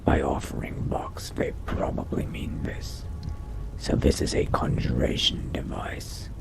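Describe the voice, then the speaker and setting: A man voicing a brooding, curious young vampire adventurer in a video game roleplay, musing to himself in a slow, hushed, gravelly whisper. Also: exaggerated voice. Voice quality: very deep, breathy, gravelly whisper. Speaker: roleplay gamer.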